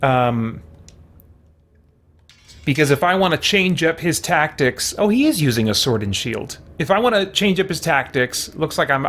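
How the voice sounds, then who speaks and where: A man's voice: medium pitch, a bit bright. A man hosting a game live stream, thinking aloud in casual, easygoing commentary and chatting with viewers.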